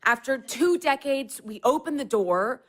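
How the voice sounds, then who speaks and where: Insistent exasperated woman, high voice, very expressive pitch, earnest, audio drama. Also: measured pace, exaggerated voice. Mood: frustrated, earnest. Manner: insistent, pleading. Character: exasperated, earnest woman pressing a stubborn close friend. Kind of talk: naturalistic audio drama dialogue.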